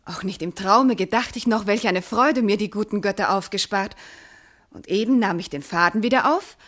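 An actress playing a proud, loving, wounded noble wife in classical verse radio drama, speaking in an earnest, elevated way. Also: female voice, mid-range voice, normal speed, a bit bright, natural voice. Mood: tender recounting.

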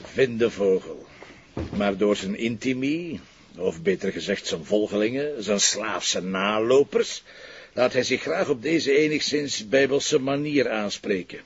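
An actor playing a gruff, sardonic Flemish police commissioner in a television drama, with a gravelly, commanding delivery. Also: male voice, mid-range voice, animated, unhurried, a little hiss or hum. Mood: accusing, sardonic. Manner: sarcastic, commanding, swearing. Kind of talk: naturalistic television drama acting. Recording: dull, lo-fi TV audio.

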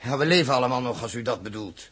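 An actor playing a harried, conciliatory spaceship captain in a 1960s radio drama, with weary authority. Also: male voice, mid-range voice, lively intonation, fast, fairly bright tone, slightly rough. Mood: placating.

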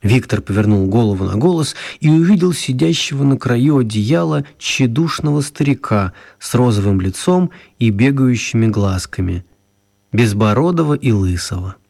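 A man narrates a radio play as a storyteller. His mid-pitched voice is warm-dark and noticeably husky, and he reads the scripted story expressively. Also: lively intonation, moderate pace.

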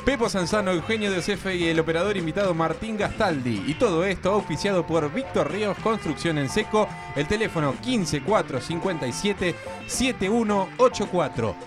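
A man's voice: sits high, animated, normal speed, music in the background. A man with an Argentine accent reading scripted radio credits as an announcer.

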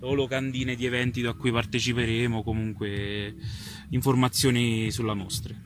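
A man gives spontaneous, explanatory interview answers, pausing and hesitating as he goes. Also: medium pitch, level tone. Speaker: photographer and exhibition curator being interviewed. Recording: clear ambient noise behind the voice.

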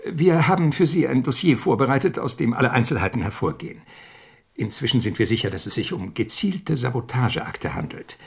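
Middle aged company exec in a radio drama, slightly rough husky voice, formal, businesslike, pushy. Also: male voice, medium pitch, lively intonation, a little fast. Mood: formal, welcoming. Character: formal, pressing male corporate executive, middle-aged.

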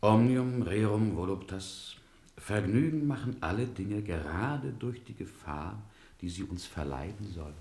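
Casting: courteous scholar-mayor, low voice, unruffled, radio drama with roomy echo. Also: male voice, expressive, measured pace, dark tone. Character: urbane philosopher nobleman and mayor, calmly courteous. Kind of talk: German radio play acting.

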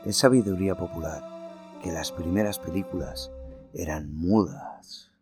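Slow, deep-voiced man narrating over music. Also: a little mellow. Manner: slow, theatrical delivery. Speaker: narrator and podcast host. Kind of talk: dramatic horror story reading.

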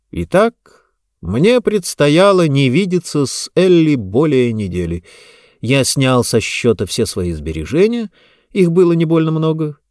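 A man reading a first-person novel as an audiobook narrator, in an expressive, dramatized way. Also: medium pitch, no echo, studio quality.

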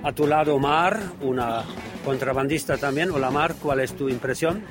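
A man with a mid-pitched voice hosts a radio reportage, moving between German and Spanish as a street reporter. Music plays clearly behind him. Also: a little fast.

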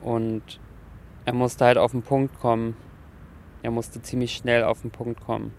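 A man's voice: medium pitch, completely flat delivery, unhurried. A man talking informally and reflectively, recorded outdoors. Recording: noisy outdoor urban ambience.

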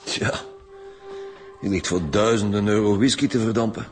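A man playing a weary, grumpy chief inspector in a radio drama, gruff and curt, with a Flemish accent. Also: fairly low pitch, steady tone, moderate pace, soft background music, close to an everyday voice. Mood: grumpy, businesslike. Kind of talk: naturalistic radio drama acting.

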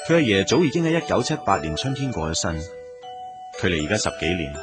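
A man's voice: deep voice, big pitch swings, fast, music in the background. A man acting as a wistful young man in a radio drama, speaking and narrating in Hong Kong Cantonese. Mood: reflective.

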